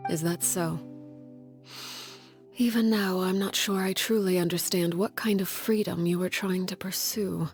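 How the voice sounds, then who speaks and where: An actress playing a stern, composed woman who leads an orphanage in a game, speaking in a calm, measured, commanding way. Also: on the low side, soft background music. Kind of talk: game cutscene voice acting.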